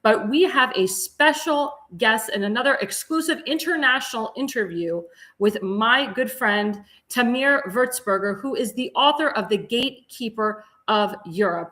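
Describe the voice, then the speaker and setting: A woman's voice: mid-range voice, moderate pace, a bit bright. A woman hosting a political talk show, reading out news items with emphasis and introducing her guest.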